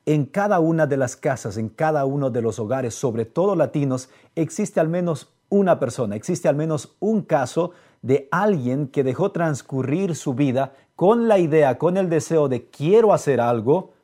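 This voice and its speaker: Mellow, earnest male podcast host. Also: on the high side, lively intonation, normal speed, few pauses. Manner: earnest, speaks directly to viewers. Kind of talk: motivational podcast talk. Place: live streamed podcast.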